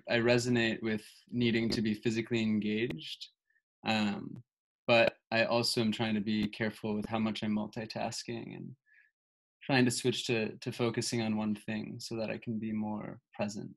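Soft-spoken young man on a video call. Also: fairly low pitch, gravelly, poor audio. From Buffalo, New York. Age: 20s. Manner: soft-spoken, hesitant with ums. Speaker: participant. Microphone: laptop webcam on video call.

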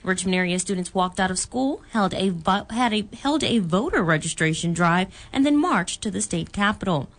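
A woman's voice: medium pitch, animated, normal speed, few pauses. An American woman working as a radio news anchor, reading scripted bulletins.

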